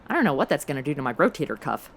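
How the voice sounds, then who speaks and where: A woman's voice: deep voice, very animated, very fast, vocal fry, exaggerated voice. A woman playing a brash, impulsive modern American sidekick in a comedy audio drama, slangy, blunt and sarcastic. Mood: rambling, sheepish.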